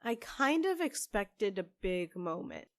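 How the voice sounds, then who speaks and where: Actress as hopeful young cafe witch, female, unhurried delivery, earnest, conversational, close dry sound, audio drama. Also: mid-range voice, sing-song, no room sound. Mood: upbeat.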